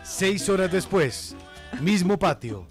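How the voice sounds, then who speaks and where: A dramatic radio soap announcer, setting scenes slowly. The delivery swings widely, with clear music behind it. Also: male voice, high voice, very animated, heavily acted. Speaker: narrator. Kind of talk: scripted scene-setting narration.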